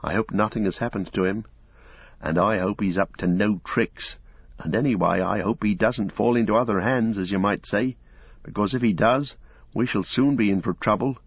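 A man voicing an earnest, gentle young hobbit in a soft, measured tone close to his own voice, in a dull, dry lo-fi recording. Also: on the low side, breathy edge, no room sound. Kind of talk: audiobook character voice.

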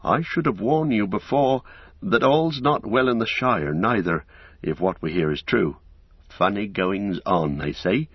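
A man's voice: lowish voice, husky, no room sound, dull audio, heavily acted. A man voicing a good-hearted, easily flustered innkeeper in a storybook, rambling along in folksy, rustic phrases. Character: broad-faced, garrulous, good-hearted, flustered male innkeeper. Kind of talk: audiobook character acting.

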